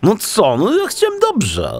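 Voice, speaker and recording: A man's voice: sits high, wildly swooping pitch, unhurried, heavily acted. A man voicing a goofy, eager young male seal in an audiobook, naive and sheepish, with a drawl. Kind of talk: theatrical audiobook acting.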